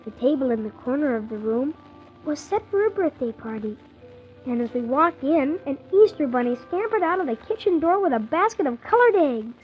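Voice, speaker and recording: Young boy narrator, high voice, earnest and polite; muffled, hissy 1940s radio storytelling. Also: moderate pace, soft background music. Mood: wondering. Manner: animated, earnest, wondering narration.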